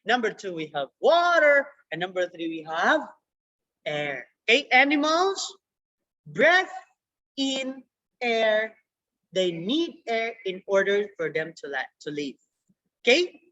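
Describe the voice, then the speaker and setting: A woman teaching a young children's science class over a video call, repeating words slowly for the kids. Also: mid-range voice, big pitch swings, a bit bright. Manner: slow, repetitive, drawn-out words for children. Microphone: computer mic on a video call. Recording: dull lo-fi video call audio.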